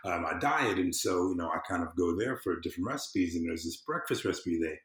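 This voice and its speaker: A man's voice: low voice, expressive, a little fast, fairly bright tone. A man from the American South chatting casually and emphatically as a podcast guest. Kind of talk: casual interview chat. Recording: roomy, echoing sound.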